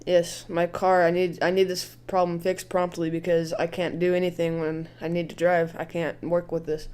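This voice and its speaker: Man, prank caller, smooth clear voice, flat intonation, earnest, clueless manner. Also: fairly high pitch, moderate pace. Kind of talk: prank phone call chat.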